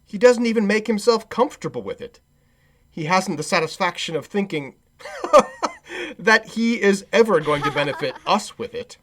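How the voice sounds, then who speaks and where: A man's voice: sits high, animated, moderate pace, slight background noise. A man playing a cheerful, forgiving nephew in a radio play, speaking in a hearty, earnest way. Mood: amused, merry. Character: Scrooge's cheerful, forgiving, good-humored nephew.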